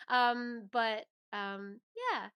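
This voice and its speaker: Woman, interview guest, bright forward tone, smooth and clear, casual, enthusiastic. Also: fairly high pitch, steady tone, extremely slow. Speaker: interview guest, voice actress and performer.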